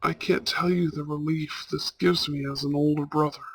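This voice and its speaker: A man playing a warm, protective older brother from a mafia family, speaking tenderly and earnestly in a romantic audio roleplay. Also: fairly high pitch, flat delivery. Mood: earnest, relieved. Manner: tender, earnest, halting delivery with sprinkled Italian endearments.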